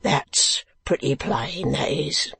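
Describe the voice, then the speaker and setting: A reader doing a gruff, coarse male keeper. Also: mid-range voice, bright, forceful tone, heavily acted. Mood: blunt, scornful. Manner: slow, blunt, uneducated speech.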